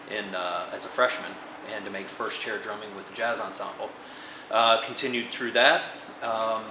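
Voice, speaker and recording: An American man from upstate New York, a drummer telling his story in a casual band interview. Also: lowish voice. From upstate New York, USA. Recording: echoey room, clear background noise.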